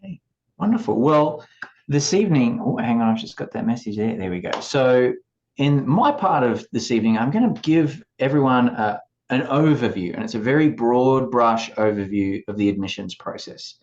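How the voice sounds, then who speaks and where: A man in his forties with an Australian accent, giving a measured, explanatory talk to students as an admissions advisor over a video call. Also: mid-range voice, a little mellow. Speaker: law school admissions advisor. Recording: dull lo-fi video call audio.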